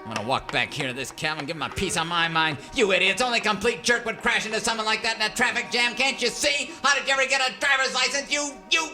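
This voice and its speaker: An actor playing a scheming, fast-talking showbiz agent in a radio comedy, blustery and easily exasperated. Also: male voice, sits high, lightly acted. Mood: exasperated, angry. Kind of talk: old-time radio serial parody acting. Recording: music behind the voice.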